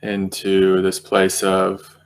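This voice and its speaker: A man with a low voice guides a meditation in a very flat, even tone. He speaks gently and unhurriedly, leaving long pauses between phrases as he finds his words. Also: clear, resonant tone. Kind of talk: guided meditation, unscripted spiritual talk.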